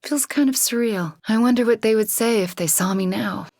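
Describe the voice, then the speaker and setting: A woman voicing a young sorceress heroine in a video game, guilt-ridden but earnest and wry, with a soft, hesitant, sincere way of talking. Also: a little high, moderate pace, dry recording, studio quality. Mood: reflective.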